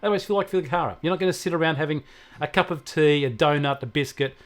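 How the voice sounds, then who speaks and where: A man's voice: on the high side, racing. An Australian man co-hosting a casual tech talk, explaining things in a plain-spoken, opinionated way. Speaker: technology show co-host and expert.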